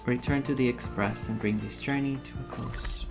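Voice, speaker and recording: A man streaming a story game, reading dialogue aloud and adding his own expressive reactions. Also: medium pitch, normal speed. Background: game music.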